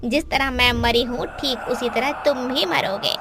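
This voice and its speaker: A woman's voice: high-pitched, a little slow. A woman voicing a vengeful witch ghost, a mother wronged by her village, in a menacing, theatrical cartoon horror style. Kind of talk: Hindi cartoon horror voice acting. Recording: background music under the voice.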